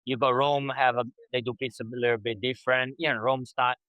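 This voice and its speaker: Bright-voiced Italian-accented man. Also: medium pitch, little pitch movement, quick pace, no room sound, lo-fi sound. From Italy. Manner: chatty, loose broken phrasing. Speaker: podcast host. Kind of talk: casual interview chat.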